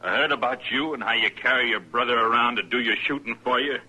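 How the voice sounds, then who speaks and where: A man's voice: medium pitch, fast, sharp tone, husky, poor audio. An actor playing a blunt, stubborn cowboy who stands his ground in an old western radio drama. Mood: indignant, defiant. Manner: blunt, challenging. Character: indignant, stubborn cowboy defending his lady companion. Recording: old radio recording, crowd murmur.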